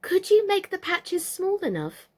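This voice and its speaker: Woman as a high-voiced, busy young girl. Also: huge pitch swings, unhurried, full character voice. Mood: doubtful.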